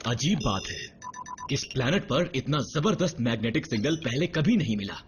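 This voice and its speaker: A man's voice: medium pitch, lively intonation, normal speed, highs cut off, soft background music, close to an everyday voice. A man playing a busy, practical robot medic in a dubbed cartoon, with a dry, matter-of-fact manner. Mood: preoccupied.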